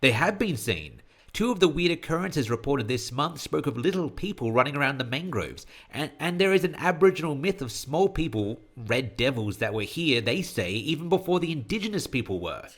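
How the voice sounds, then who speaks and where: A man's voice: medium pitch, big pitch swings, fairly quick, fairly bright tone, voice pushed up. Guy doing an eager bossy ghost hunter woman, emphatic, easily annoyed, horror audio story. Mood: exasperated.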